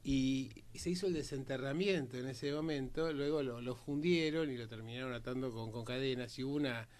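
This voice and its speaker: An Argentine man speaks as a panelist on political history. His voice is mid-pitched. He builds his points in broken, conversational phrases with a rhetorical bent. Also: fairly quick, darkish tone.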